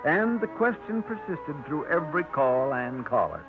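A man's voice: a little high, very animated, moderate pace. Man narrating an old radio drama. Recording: tinny 1950s radio broadcast with music underneath.

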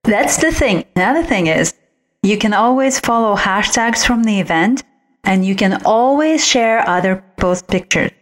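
A woman talks as a guest expert in an interview. Her voice is of average pitch for a woman. She explains her advice conversationally, as someone answering a host. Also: animated, normal speed. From originally from outside the United States. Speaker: guest, visual branding expert.

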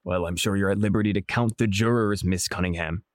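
An actor in a 1940s radio drama playing a stern judge who speaks in a measured, formal way. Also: male voice, deep voice, steady tone, no echo, clean studio sound, lightly acted. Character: stern, patient male judge.

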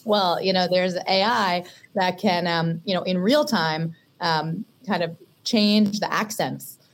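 Low-voiced Californian woman on a panel. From western United States. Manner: measured, filler-heavy. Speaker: investor panelist. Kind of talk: panel discussion.

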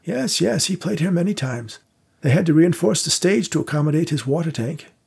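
A man putting on a quavering ninety-something old gentleman. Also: fairly high pitch, expressive, a little fast, gravelly, husky, voice pushed up, heavily acted. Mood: courtly. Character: frail genteel old male theater manager, 97.

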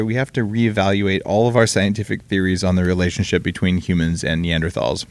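A man hosting a podcast, chatting casually and upbeat with quick asides, recorded in a room with his guest. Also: sits low. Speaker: podcast host. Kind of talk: casual interview and ad read. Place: room in Hawaii, live with guest.

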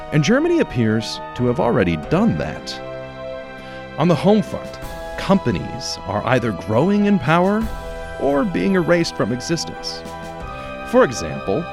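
A man reading scripted narration for a radio drama as its narrator. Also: mid-range voice.

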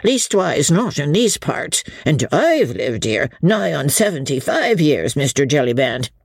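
A man voicing Mister Hempseed, a doleful old male villager, who speaks in a slow, mournful drawl. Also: a little high, sing-song, creaky, breathy edge, no room sound, heavily acted. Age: 70s.

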